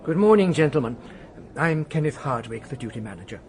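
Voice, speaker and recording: A male actor plays a courteous British hotel duty manager in a radio drama, over faint background noise. His lightish voice moves up and down in a lively way. He is formal and a little flustered, hesitating as he fusses politely. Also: mid-range voice, a little fast, close to an everyday voice.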